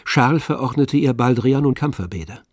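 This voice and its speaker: A man narrating a literary radio play in a measured, composed storytelling manner. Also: mid-range voice, expressive, no echo, clean studio sound.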